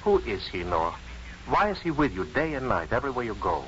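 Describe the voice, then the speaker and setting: An actor playing an earnest, worried professor and loyal friend in a 1950s radio drama, speaking emphatically and insistently. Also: male voice, medium pitch, sing-song, a little fast, a bit bright. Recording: muffled, faintly noisy 1950s radio broadcast.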